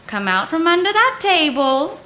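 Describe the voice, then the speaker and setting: Radio-drama heroine: young, no-nonsense, high and very animated, slight background noise. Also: female voice, normal speed, full character voice. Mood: brisk, reassuring. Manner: no-nonsense, wry, commanding. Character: brisk, plucky young woman, exasperated and practical. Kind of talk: old-time radio adventure drama acting.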